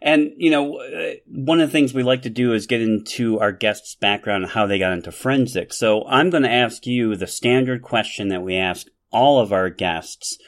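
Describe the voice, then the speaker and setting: A man's voice: mid-range voice, normal speed, hardly any pauses. A man co-hosting a podcast, chatting casually and often repeating words as he talks. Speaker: podcast co-host. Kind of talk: casual chat.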